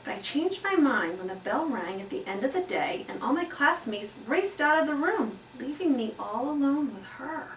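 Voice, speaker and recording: A woman voicing a small, earnest pet hamster who tells his classroom story with expressive, emphatic delivery. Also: sits high, moderate pace, hardly any pauses, voice pushed up. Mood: worried. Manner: expressive, exclaiming, emphatic read-aloud delivery. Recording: roomy echo, distant mic, faint noise.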